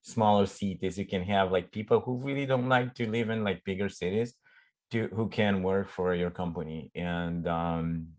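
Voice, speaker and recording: A middle-aged man from Romania with a Romanian accent, a startup CEO chatting in an interview, often pausing and restarting as he searches for words. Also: low voice, steady tone. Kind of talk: casual conversational interview.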